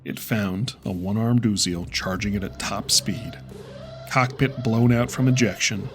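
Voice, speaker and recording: A man narrating a sci-fi battle audio drama as a grave, deliberate storyteller. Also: lowish voice, music in the background, pitched below the performer's own voice. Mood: grave, tense.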